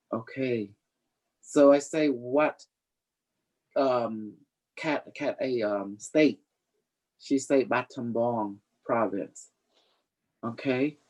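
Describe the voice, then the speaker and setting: Boastful psychic streamer, middle pitch voice, playful rambling chat, grammar all loose. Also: a little mellow, low-quality recording. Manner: rambling, non-standard English grammar. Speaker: psychic reader, host of a livestream. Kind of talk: casual improvised psychic reading chat.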